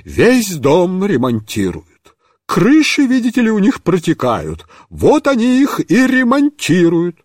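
A man voicing a fussy, indignant middle-aged woman neighbor with a shrill, squealing voice and drawn-out, outraged exclaiming. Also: huge pitch swings, a little mellow, no echo, clean studio sound, exaggerated voice.

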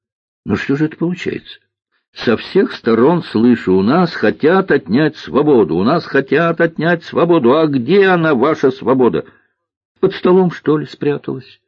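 A man's voice: medium pitch, sing-song, moderate pace, breathy edge, no echo, highs cut off, voice pushed up. A man voicing a bold, stubborn little girl in a children's audiobook, asking pointed questions in an insistent way. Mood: indignant, sarcastic. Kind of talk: audiobook character voice, children's science fiction.

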